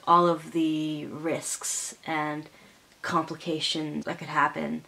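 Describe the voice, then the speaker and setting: A young man talking casually to camera in a personal vlog, halting and full of fillers. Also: a little high, fairly bright tone. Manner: halting, with many fillers and restarts. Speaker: vlogger.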